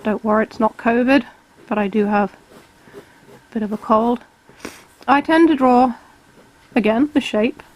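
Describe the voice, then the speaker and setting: A woman, calmly explaining each step of a drawing tutorial while she sketches. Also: on the high side, a little slow, long pauses, rough recording. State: has a cold, slightly hoarse. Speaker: art tutor.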